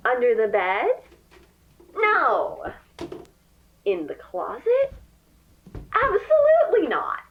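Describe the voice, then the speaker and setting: A woman playing a taunting hired hunter in an audio horror drama, with a theatrical, drawn-out delivery. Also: on the high side, phone-quality sound, full character voice. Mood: playful taunting. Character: taunting female hired hunter stalking hidden prey.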